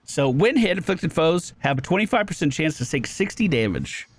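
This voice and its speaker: Lively, higher-pitched man doing game commentary. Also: normal speed, breathy edge. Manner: upbeat running narration of own play. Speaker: gaming video host. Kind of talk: gameplay commentary. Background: video game music.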